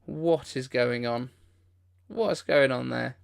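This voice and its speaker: A man with a mid-pitched voice hosts a live reaction stream. He talks informally and off the cuff, with an incredulous edge to his commentary. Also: takes it slowly, creaky, clean tone. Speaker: livestream host.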